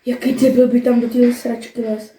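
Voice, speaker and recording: A woman's voice: fairly high pitch, flat delivery, mellow. A young woman chatting casually as co-commentator, blunt and teasing with slangy swearing. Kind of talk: casual reaction chat. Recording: roomy echo, faint noise and clicks.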